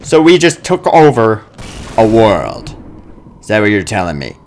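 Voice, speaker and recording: A man doing casual let's play commentary, excitable and exclamatory, with swearing and silly made-up words. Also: fairly low pitch, measured pace. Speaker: gamer playing a first-person shooter. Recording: game gunfire and noise behind voice. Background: video game combat sounds.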